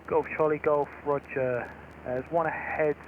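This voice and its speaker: A man's voice: fairly high pitch, fairly even intonation, moderate pace. A man giving procedural flight information over an aviation radio. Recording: dull, noisy aviation radio link.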